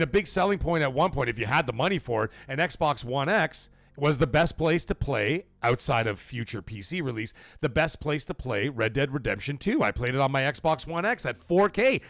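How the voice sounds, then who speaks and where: A man hosting a gaming livestream, reading super chats aloud and giving emphatic, profane opinions, swinging loud for donation shout-outs. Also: medium pitch, lively intonation, brisk, hardly any pauses, no echo. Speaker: livestream host.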